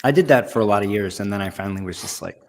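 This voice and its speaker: A man hosting a live online show about comic conservation, talking in a relaxed, rambling, self-deprecating way. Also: on the low side, rapid-fire. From Texas. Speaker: host. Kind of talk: casual live show chat.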